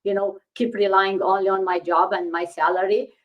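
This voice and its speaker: Middle-aged lady from romania, normal pitch, chatting casually as a guest on an interview. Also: flat delivery, normal speed, muffled recording. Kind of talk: casual conversational storytelling.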